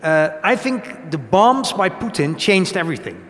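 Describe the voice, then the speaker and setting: A Dutch-accented man in his forties speaks into a handheld mic on a small gallery stage, with a rather high voice for a man. He works his way through ideas with hesitations and tag questions. The room is echoey, with a long reverb tail. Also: sing-song, moderate pace, a bit bright. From Netherlands. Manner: explains with many hesitations and tag questions, gestures a lot. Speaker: guest. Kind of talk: onstage talk show conversation.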